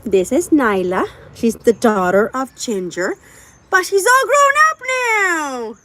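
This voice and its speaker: A woman's voice: high voice, very animated, takes it slowly, fairly bright tone. A woman from Puerto Rico chatting with her live viewers while doing chores outdoors with her animals. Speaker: livestream host.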